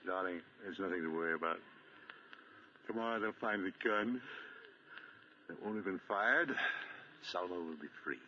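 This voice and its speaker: A suave American husband and sleuth in a 1940s radio play. His voice is very low and cuts through sharply, with dry, deadpan wit and unflappable calm. The sound is a muffled old broadcast. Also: moderate pace, long pauses. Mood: weary, soothing. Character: suave, wry, unflappable husband and amateur detective. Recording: muffled, faintly noisy 1940 radio broadcast.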